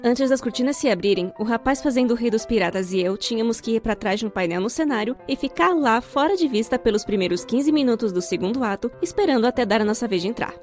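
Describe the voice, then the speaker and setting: A woman's voice: fairly high pitch, lively intonation, quick pace, few pauses, a little mellow, faint music behind. A young adult woman with a Brazilian accent giving scripted, comedic storytime narration in a theatrical, wry way. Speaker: storyteller, fan-dub voice actor.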